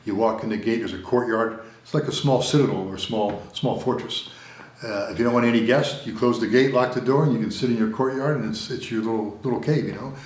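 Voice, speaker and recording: A man's voice: medium pitch, quick pace, echoey room. A middle-aged American man, an interview guest, talking in a casual, rambling way with repeated words and many fillers. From United States. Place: recording studio room.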